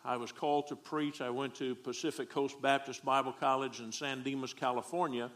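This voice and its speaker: A man's voice: mid-range voice, steady tone, fairly quick, fairly bright tone. A middle-aged man from Texas with a Southern accent, preaching a folksy, joking sermon as a guest preacher.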